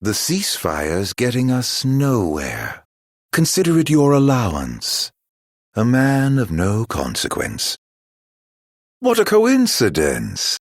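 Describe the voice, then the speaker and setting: An actor voicing a sly, mock-courteous villain in a video game, with a florid, teasing, theatrical drawl. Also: male voice, mid-range voice, wildly swooping pitch, slow, dry recording, clean studio sound, heavily acted.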